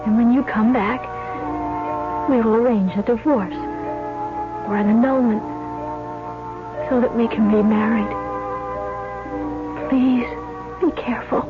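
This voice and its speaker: A devoted young sweetheart, tender and earnest. Her lightly high voice moves slowly, in the manner of old radio drama. Loud music swells under the muffled recording. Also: fairly even intonation. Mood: tender, pleading.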